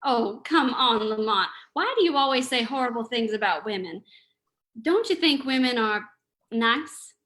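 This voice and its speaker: A spirited, teasing lady companion from a radio mystery, played by a woman. Her voice is bright and a little high, playful and mock-indignant. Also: lively intonation, normal speed. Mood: playful, chiding. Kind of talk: old-time radio acting.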